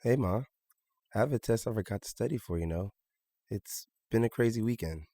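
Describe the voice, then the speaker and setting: An actor playing a polite, earnest teenage high school boy in an audio drama, slightly embarrassed and brief in his replies. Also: expressive, brisk, mellow, no echo. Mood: casual, dutiful.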